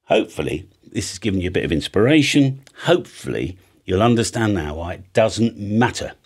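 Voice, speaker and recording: A man's voice: on the low side, very animated, fairly quick, a little mellow, breathy edge. An older man with a British accent giving a casual, off-the-cuff tutorial, chatty and blunt, often thinking aloud. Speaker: radio hobby video presenter. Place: home music studio room with drum kit. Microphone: large studio microphone on an arm, close by.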